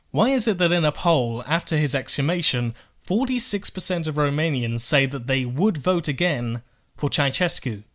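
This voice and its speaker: A man's voice: fairly high pitch, expressive, moderate pace, a bit bright. A young man giving sarcastic, profane political commentary, firing off rhetorical questions as he rebuts clips. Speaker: political video essayist.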